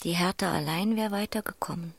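An actress playing a bleak chorus narrator, speaking hushed, near-whispered fragments in a literary radio drama. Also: female voice, fairly low pitch, brisk, vocal fry, no room sound, studio quality.

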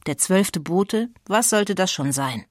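A woman playing a forward female reporter who narrates a spooky children's radio play with confiding suspense and a husky voice. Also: lowish voice, animated, slow pace, no echo, studio quality. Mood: suspenseful.

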